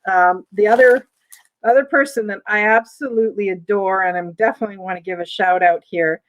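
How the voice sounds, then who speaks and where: A woman's voice: mid-range voice, steady tone, a little fast, low-quality recording. A woman with a Canadian accent, chatting casually to her viewers while unboxing, rambling and correcting herself as she goes. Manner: chatty, rambling, self-interrupting with fillers. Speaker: vlogger unboxing auction finds. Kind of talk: casual chat to camera. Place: sunny room indoors.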